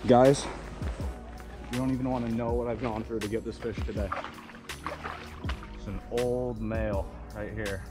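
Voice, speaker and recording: A young man filming himself fishing a small wooded creek outdoors on an action camera, exclaiming excitedly to viewers as he lands fish. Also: on the low side, a little slow, long pauses, music in the background. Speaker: fishing vlogger.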